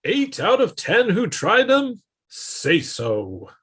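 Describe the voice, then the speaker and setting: A man voicing a 1950s radio advertisement announcer with an emphatic sales pitch. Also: wildly swooping pitch, slow, crowd noise, full character voice. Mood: salesy.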